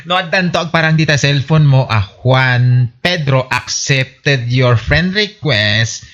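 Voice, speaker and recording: A man's voice: medium pitch, slow pace, fairly bright tone. A man playing an exasperated, sarcastic, nagging husband in an Ilocano radio comedy, grumbling and scolding in an Ilocano Filipino accent. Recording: muffled lo-fi, faint music behind.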